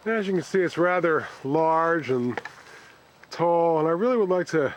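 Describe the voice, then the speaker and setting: Man, slightly high voice, hobbyist demonstrator, halting, thinking aloud, unscripted, background ambient noise. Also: animated. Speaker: bonsai hobbyist host. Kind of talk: unscripted hands-on plant demonstration.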